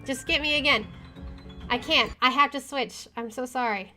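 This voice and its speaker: A woman game streamer with an expressive, excitable delivery, reading aloud and commenting as she plays. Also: high voice, moderate pace. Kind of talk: dramatic reading aloud and let's play commentary. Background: faint game music.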